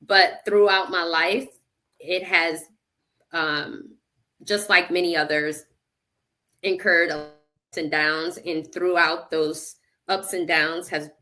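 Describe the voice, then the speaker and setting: A woman's voice: deep voice, fairly bright tone, rough recording. A woman from Connecticut, a podcast guest, telling her life story in a reflective, faith-centered way. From United States (Connecticut). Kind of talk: personal storytelling in conversation.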